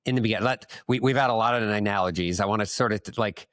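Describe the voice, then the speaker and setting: A man co-hosting a casual business podcast, thinking aloud in a halting way as he frames questions. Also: on the low side, a bit bright, dry recording, clean studio sound. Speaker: podcast co-host asking questions.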